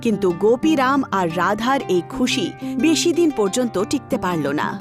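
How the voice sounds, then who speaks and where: High-pitched woman narrating, music underneath. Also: sing-song, moderate pace. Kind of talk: scripted cartoon story narration.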